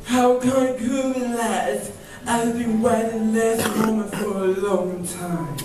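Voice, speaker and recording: A woman's voice: on the high side, fairly even intonation, slow pace, darkish tone. A woman playing an earnest, eager admirer in an amateur radio drama. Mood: admiring. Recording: very echoey, distant, faint music behind.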